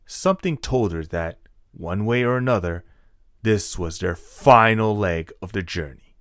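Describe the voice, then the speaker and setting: A man's voice: on the low side, lively intonation, unhurried, no echo. A man reading scripted narration for an adventure story.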